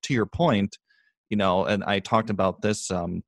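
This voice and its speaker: Male podcast host, deep voice, conversational and reflective, dry recording. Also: fairly even intonation, unhurried, gravelly, dry recording. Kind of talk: podcast interview.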